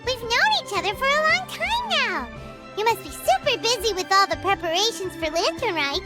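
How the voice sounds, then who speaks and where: A woman's voice: very high-pitched, big pitch swings, normal speed, over music. An actress voicing a tiny, cheerful female fairy-like companion who chatters eagerly and calls herself by name. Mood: friendly. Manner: bubbly, refers to herself in third person. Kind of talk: video game voice acting.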